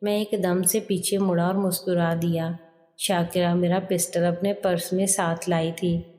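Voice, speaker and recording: A woman's voice: fairly low pitch, level tone, a little slow, warm tone. A woman reading a scripted first-person story as a narrator. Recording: long hall reverb.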